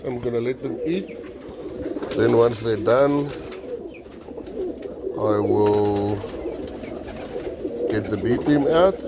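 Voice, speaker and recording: A man with a South African accent, a native Afrikaans speaker, explains things off the cuff in a casual vlog while tending birds. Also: mid-range voice. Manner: unscripted, explanatory. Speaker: pigeon keeper presenting his own video. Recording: noisy, birds cooing nearby. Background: pigeons cooing, clear background noise.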